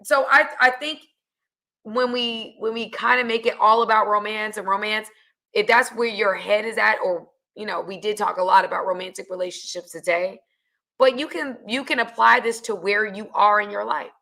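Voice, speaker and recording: A woman hosting a podcast with a raspy voice, talking casually to her listeners. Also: mid-range voice, fairly even intonation, moderate pace. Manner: casual, addresses listeners warmly. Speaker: podcast host. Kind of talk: podcast chat.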